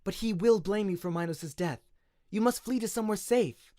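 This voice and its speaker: High-voiced, polite young princess, played by a man. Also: normal speed, dark tone, no echo, studio quality, lightly acted. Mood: concerned. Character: flattering, steely young princess, soft and polite.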